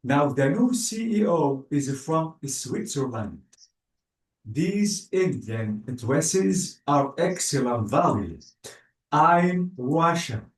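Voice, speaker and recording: A man teaching an English class online, speaking slowly and patiently and praising the student often. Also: a little high, big pitch swings. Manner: slow, patient, spells out word endings, praises with "bravo". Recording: echoey, distant room sound.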